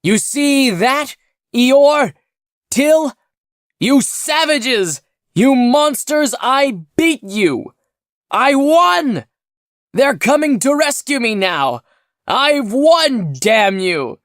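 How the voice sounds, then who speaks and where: A storyteller voicing a desperate stranded old man who shrieks in a high, strained voice. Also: very animated, extremely slow, long pauses, dry recording, clean studio sound, full character voice. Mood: wild triumph.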